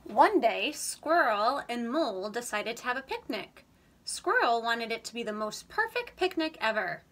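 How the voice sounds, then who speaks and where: A woman in her thirties reading a children's picture book aloud, slow and expressive, recorded on a webcam in a small room. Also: fairly high pitch. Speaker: read-aloud reader. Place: indoor room, under a sloped ceiling.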